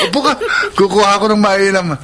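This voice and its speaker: An actor playing a mild, apologetic young husband in a Filipino radio drama, hesitant and eager to please. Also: male voice, sits high, animated, normal speed, pushed and bright, a little hiss or hum. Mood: friendly, grateful. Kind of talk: Tagalog radio drama acting.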